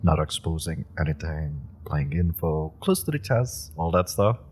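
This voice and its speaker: A man's voice: very deep voice, animated, normal speed, darkish tone, breathy edge, exaggerated voice. A man voicing an eager young superpowered rookie in an audio roleplay drama, rambling and giggling as he stumbles over his words. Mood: admiring. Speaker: voice actor.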